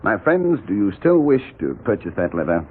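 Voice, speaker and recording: Through a narrow, old radio recording comes a slippery, cowardly blackmailer. His fairly low voice is husky and swings widely, with affected, theatrical manners. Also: sing-song, moderate pace, a little hiss or hum. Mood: smug, jaunty. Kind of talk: 1940s radio drama acting.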